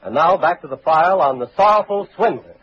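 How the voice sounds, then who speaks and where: American man, radio announcer, husky, medium pitch, 1940s sponsor narration, phone-like old broadcast sound. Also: lively intonation, a little fast, faint music behind. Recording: tinny 1940s radio recording.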